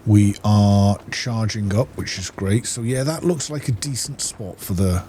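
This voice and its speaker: A man's voice: fairly low pitch, measured pace, mellow, a little hiss or hum. A middle-aged man giving casual gameplay commentary, rambling and thinking aloud. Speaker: gaming YouTuber.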